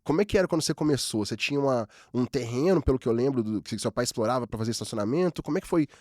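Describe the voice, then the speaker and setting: A man hosting a casual podcast who talks fast and loose, full of slang and fillers. His voice is mid-pitched and recorded close and dry on a studio mic. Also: animated, darkish tone, no echo. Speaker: podcast host.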